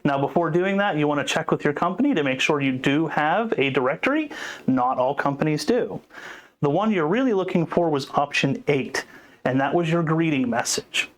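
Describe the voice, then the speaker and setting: A man's voice: a little high, animated, moderate pace. A man presenting an informal instructional walkthrough in a plain, explanatory manner. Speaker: support tutorial presenter.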